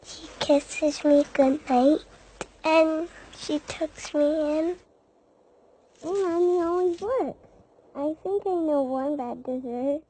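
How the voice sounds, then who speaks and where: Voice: high voice, slow, dark tone, rough recording. A childlike performer voicing a cute, chatty little cartoon bird child. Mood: earnest, sweet. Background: music.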